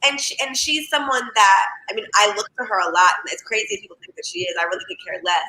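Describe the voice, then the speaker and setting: A singer and songwriter with a raspy, scratchy voice, chatting candidly as an interview guest, rambling with many fillers and restarts. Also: medium pitch, expressive, fast talker, sharp tone. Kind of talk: casual interview chat.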